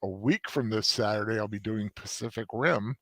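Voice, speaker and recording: A man co-hosts a casual live stream with a fairly low voice. He speaks hesitantly and fills the gaps with lots of uhs and ums. The recording is dry and close. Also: normal speed, fairly bright tone, no room sound. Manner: hesitant, filler-heavy. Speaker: co-host.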